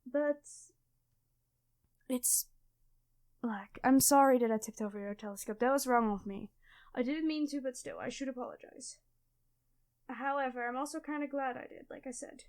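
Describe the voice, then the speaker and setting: A woman's voice: high-pitched, fairly even intonation, moderate pace, long pauses, a little mellow, smooth, lightly acted. A woman playing a clumsy, nerdy classmate who stammers and rambles apologetically in an ASMR roleplay. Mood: sheepish. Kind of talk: ASMR audio roleplay addressed to the listener.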